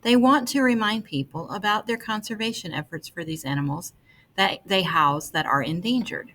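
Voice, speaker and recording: A woman reading an article aloud as scripted narration, with measured, deliberate phrasing. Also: mid-range voice, a bit bright.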